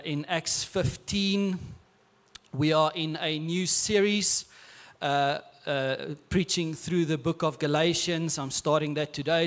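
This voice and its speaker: A man in his thirties with an Afrikaans accent, preaching a sermon on a church stage into a handheld mic in a relaxed, conversational way with restarts. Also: a little high. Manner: conversational, with frequent filler and repeated words. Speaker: pastor. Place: large church stage, indoors.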